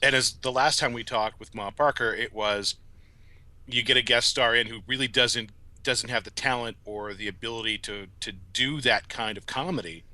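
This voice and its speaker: Male podcast guest: casual commentary, lowish pitch, forceful bright tone, dry close sound. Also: normal speed, no room sound.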